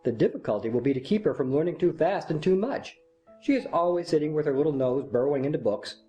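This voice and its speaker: A woman's voice: sits low, expressive, fast talker, dark tone, soft background music, voice pushed down, rough recording. A woman voicing a jovial, doting young army captain, playful and affectionate. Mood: proud, jovial.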